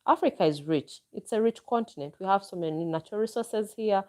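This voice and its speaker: Ugandan lady vlogger, mellow warm tone, chatty and excited, reading out viewer comments. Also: mid-range voice, fairly quick. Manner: enthusiastic, chatty, with frequent exclamations. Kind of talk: casual live chat.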